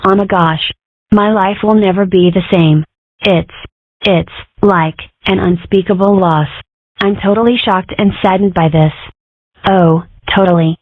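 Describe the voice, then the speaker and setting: A woman's voice: medium pitch, steady tone, measured pace, no echo, natural voice. Woman as young fox girl, cartoon comic dub, sardonic, dry delivery, close dead room, phone-like sound. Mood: mock dramatic. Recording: muffled narrow-band lo-fi audio.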